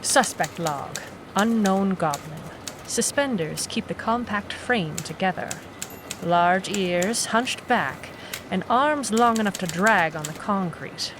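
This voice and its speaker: Slow-paced, hard-boiled private eye. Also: female voice, mid-range voice, noisy background. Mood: grim deadpan. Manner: clipped, terse, deadpan. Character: hard-boiled amnesiac female private eye, terse and suspicious. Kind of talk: noir detective audio drama, narration and dialogue. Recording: music playing behind the voice. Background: music.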